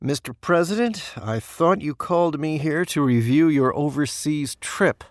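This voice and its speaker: Deadpan presidential aide, dry sound. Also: male voice, fairly high pitch, no echo. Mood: earnest, deadpan. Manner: deadpan, deferential, measured. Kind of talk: comedic audio drama acting.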